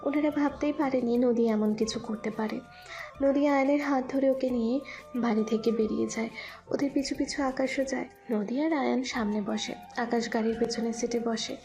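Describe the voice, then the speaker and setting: A woman's voice: on the high side, vocal fry, dark tone. A woman reading scripted narration for a dramatic audio love story. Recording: music behind the voice.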